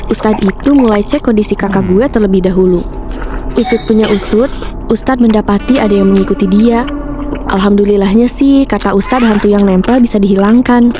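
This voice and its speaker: A young woman narrating a scripted first-person ghost story in casual slang, chatty and expressive, talking straight to listeners. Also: mid-range voice, fairly quick. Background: background music.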